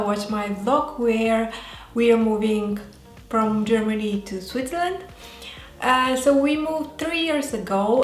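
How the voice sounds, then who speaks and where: Woman, Bulgarian accent, casual vlog talk, halting delivery, unhurried pace, roomy echo. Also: fairly high pitch. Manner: halting, pausing often mid-sentence. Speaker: vlogger. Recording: roomy echo, faint music behind.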